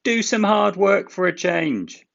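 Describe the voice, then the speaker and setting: Squeaky, excitable little engine. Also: male voice, slow pace, creaky, muffled recording, exaggerated voice. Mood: cheeky, bossy. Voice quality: high, squeaky falsetto. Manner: exclamatory, cheeky. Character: cheeky, excitable little young male tank engine. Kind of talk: children's storybook character voice.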